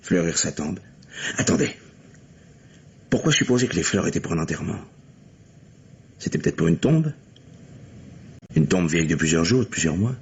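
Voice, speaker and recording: An actor playing an earnest, methodical police lieutenant in a French mystery radio play, speaking deliberately. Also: male voice, low-pitched, expressive, a little mellow, slightly rough, exaggerated voice. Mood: dawning realisation. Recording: muffled, hissy vintage radio recording.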